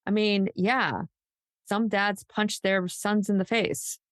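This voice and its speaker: A woman co-hosting a podcast in casual true crime discussion, thinking out loud in an emphatic, conversational way peppered with "like". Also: mid-range voice, steady tone, takes it slowly, dry recording, studio quality. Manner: conversational, speculative, emphatic, says "like" often. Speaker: podcast co-host.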